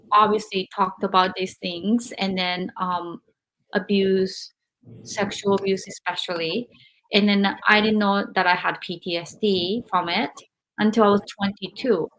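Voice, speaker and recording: Woman hosting, talks real slow, lots of ums, picks words carefully. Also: mid-range voice, fairly bright tone, rough recording. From Japan. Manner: hesitant, searching for words, many fillers. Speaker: podcast host. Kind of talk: interview podcast.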